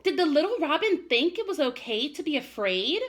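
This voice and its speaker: A woman voicing a timid, curious young male raccoon cub in an expressive, childlike way for a picture book. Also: high voice, normal speed, pitched above the performer's own voice. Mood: earnest, curious. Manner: expressive, childlike exclaiming and questioning.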